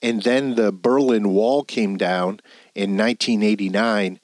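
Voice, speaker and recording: A man hosting a podcast, reading out his introduction and questions in a smooth, scripted way. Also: fairly low pitch, fairly even intonation, measured pace, no echo. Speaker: podcast host.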